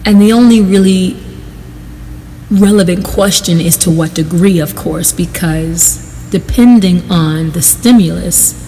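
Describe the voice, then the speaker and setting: Female meditation guide, soft mellow tone, lowish pitch, unhurried short phrases with pauses. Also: in a large hall, a little hiss or hum, rough recording. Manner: measured, deliberate, pausing between short phrases.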